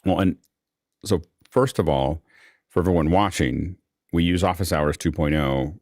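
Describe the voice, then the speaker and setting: A man's voice: sits low, moderate pace, darkish tone, no room sound, studio quality. A man hosting a live online panel in casual talk, thinking aloud in a rambling way and often repeating words. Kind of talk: casual spoken discussion. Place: remote video call.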